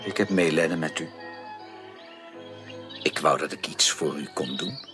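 An actor playing an earnest, caring and direct gentleman suitor in a radio drama, speaking formally and emphatically with probing, insistent questions. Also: a bass voice, moderate pace, soft background music. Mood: firm, urging. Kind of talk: 1980s Dutch radio drama acting.

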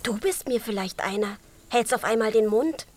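A woman's voice: fairly high pitch, normal speed, lightly acted. A woman playing a bored, bossy little chick, blunt and teasing, in a children's radio play. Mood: grumpy, bored.

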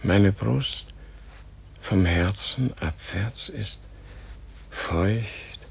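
Dying old holy man, low voice, very slow and halting, gentle, resigned, husky, radio drama. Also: heavily acted. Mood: dazed, tender. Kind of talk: radio play acting.

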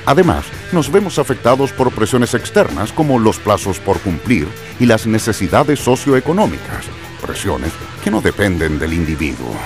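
A man's voice: fairly low pitch, very animated, moderate pace. A man reading scripted educational narration in a formal, didactic manner. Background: music.

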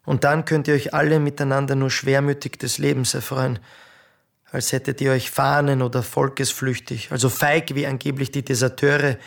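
An actor playing a sombre, ironic nephew in a radio play, speaking in measured literary phrases with a creaky voice. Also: male voice, medium pitch, little pitch movement, lightly acted. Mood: wry reproach. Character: sombre, ironic nephew recalling his family's past.

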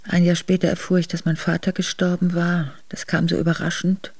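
A woman's voice: lowish voice, completely flat delivery, brisk, a little mellow, husky, no echo. A woman playing a noblewoman who soberly recounts sorrowful memories of exile in a radio drama. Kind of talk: radio drama memoir narration.

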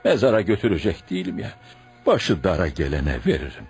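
A stubborn, protective old village elder in a radio drama. His voice is low and rough, and it rises and falls widely as he speaks with deliberate, pious emphasis. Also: sing-song, darkish tone, faint music behind, exaggerated voice. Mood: calm, resolute. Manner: emphatic, proverb-laden, deliberate. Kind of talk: Turkish radio theatre acting.

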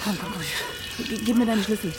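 A woman's voice: mid-range voice, fairly quick, lightly acted. An actress in a radio crime drama playing a sarcastic, street-smart young woman who is brusque and slangy. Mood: urgent, bossy. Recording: studio radio play with loud music behind.

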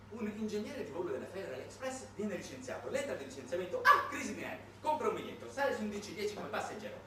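A man's voice: high-pitched, background noise. A man narrating a satirical theater monologue as a solo stage actor, in a dramatic, declamatory way. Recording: very echoey, distant stage recording with music.

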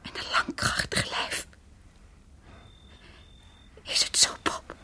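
A woman's voice: takes it slowly, pushed and bright, in a whisper. A woman acting in a radio play as an anxious, insistent wife who falters and repeats herself. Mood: frightened, hushed. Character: frightened wife, frozen still, anxiously insistent.

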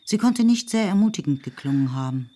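Low-voiced woman narrating a literary drama. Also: lively intonation, fairly quick, warm tone.

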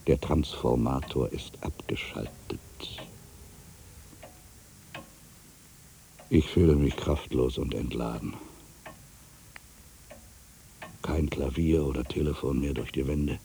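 A man playing a very deep, gravelly aging writer. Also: normal speed, dark tone, slight background noise, rough recording. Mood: resigned, brooding. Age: older. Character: aging weary male writer, brooding, wry, solitary observer. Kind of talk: literary dramatic monologue.

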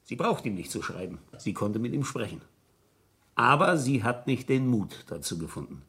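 A shrewd police inspector in a 70s german radio play, husky, deliberate, keeps pushing with questions. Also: male voice, medium pitch, sing-song, a bit bright, full character voice. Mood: methodical, accusing. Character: shrewd, insistent male police inspector, quietly sceptical. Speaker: actor in a crime radio play.

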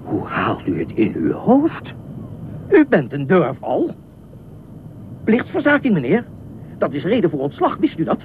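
An actor playing a grieving, dutiful lifeguard in a Dutch radio drama, talking in a halting, repetitive and rather formal, pedantic way. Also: male voice, huge pitch swings. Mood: indignant, officious. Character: grieving, dutiful male pool lifeguard, stiffly official and rambling. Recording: muffled phone-like old radio recording, loud rumble.

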